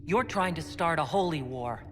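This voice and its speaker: A woman's voice: low-pitched, animated, normal speed, a little hiss or hum. An actress playing a guarded female dwarf hero in a video game, wary, understated and questioning. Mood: skeptical. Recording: echoey hall with music behind.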